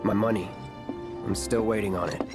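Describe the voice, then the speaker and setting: An actor playing an aloof young mercenary in a video game, speaking in a cool, clipped, deadpan manner. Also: male voice, on the low side, normal speed, natural voice. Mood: cool, reserved. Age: young adult. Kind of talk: video game cutscene voice acting. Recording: background music under dialogue. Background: game music.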